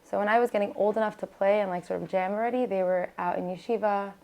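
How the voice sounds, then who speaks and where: A woman's voice: mid-range voice, fairly even intonation, fast, gravelly, dark tone. An American woman whose first language was Hebrew, chatting as a podcast guest with lots of fillers and restarts. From United States. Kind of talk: casual interview talk.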